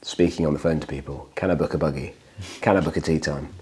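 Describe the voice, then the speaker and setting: A man hosting a casual chat, his voice croaky, often repeating himself and restarting. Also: extremely low pitch, steady tone, fast talker, a little mellow. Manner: rambling, repeats words and restarts. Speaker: host.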